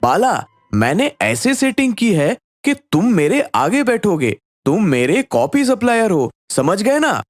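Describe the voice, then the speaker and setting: A man voicing a cheeky, casual young friend in an animated story. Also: high-pitched, unhurried, faint music behind. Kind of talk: animated story character dialogue.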